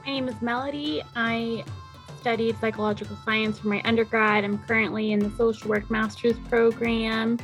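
A young woman whose first language is Spanish, co-hosting a podcast in an earnest, measured way that slows down on key points. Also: a little high, steady tone, soft background music. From Oceanside, California. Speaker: podcast co-host. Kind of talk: podcast discussion.

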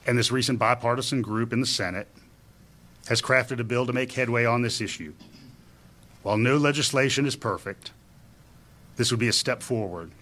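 An older man, a local government official, reading a formal prepared statement in a measured way. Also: mid-range voice, sharp tone.

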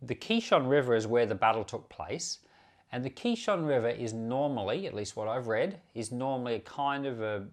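Male pastor-podcaster, mid-pitched, informal Bible commentary, conversational and unscripted with asides. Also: expressive, moderate pace. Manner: conversational, unscripted, explaining with self-corrections and asides.

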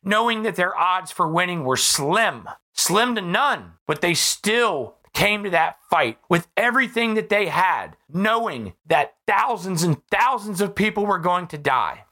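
Bright, emphatic American podcast host, slightly hoarse. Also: medium pitch, big pitch swings, measured pace, hardly any pauses. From United States. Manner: emphatic, repeats phrases for effect. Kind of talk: impassioned political monologue.

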